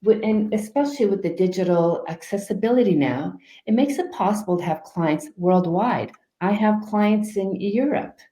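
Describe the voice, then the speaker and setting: Calm, soft-spoken woman with a mellow voice. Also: mid-range voice, moderate pace, few pauses, roomy sound. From Canada. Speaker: guest life coach. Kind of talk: interview answers.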